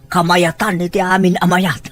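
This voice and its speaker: A woman's voice: fairly low pitch, little pitch movement, moderate pace, lightly acted. A woman playing a gossipy, boastful older mother in an Ilocano family drama, talking in an exclaiming manner.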